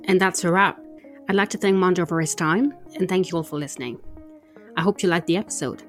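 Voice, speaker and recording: A woman's voice: on the low side, fairly quick, soft background music. A woman podcast host who asks brief, friendly questions and then reads a closing sign-off. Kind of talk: interview and scripted outro.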